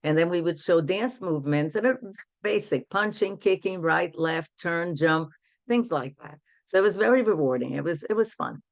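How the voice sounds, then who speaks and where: A woman's voice: fairly low pitch, lively intonation, moderate pace, gravelly, dry recording, dull audio. Woman in her sixties, dry call audio. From Brazil. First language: Portuguese. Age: 60s. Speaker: guest, women's empowerment coach. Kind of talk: casual interview storytelling.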